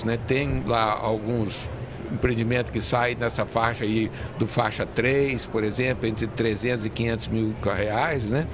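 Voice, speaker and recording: A man talks as an interview guest at a medium pitch. He explains in a halting, repetitive way, with clear venue noise behind him. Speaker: real estate industry leader, guest. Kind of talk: interview conversation. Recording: noisy venue with urban ambience. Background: clear ambient noise of a busy fair.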